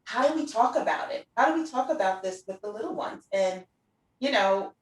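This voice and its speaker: A woman's voice: mid-range voice, animated, brisk. Middle-aged woman, roomy distant sound. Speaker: interview host and preschool teacher. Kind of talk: casual conversational chat. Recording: roomy echo, distant from the mic.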